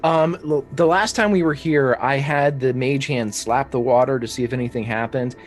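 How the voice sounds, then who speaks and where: A man's voice: medium pitch, normal speed. A man plays a tabletop roleplaying game with music under him. He talks casually and playfully, describing what his character does in colloquial language.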